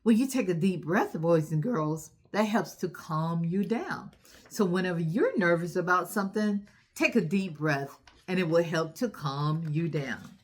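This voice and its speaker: A woman's voice: fairly low pitch, lively intonation, measured pace. A woman hosting a storytime for young children, recapping a story in an encouraging, instructive way and putting questions to her young listeners.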